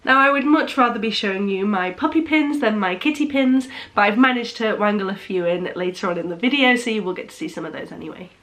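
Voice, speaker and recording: A woman's voice: mid-range voice, fast, few pauses, a bit bright. Young woman, nasal with a cold, casual vlog chat, hesitant restarts. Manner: chatty, hesitant with frequent restarts. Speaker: vlogger.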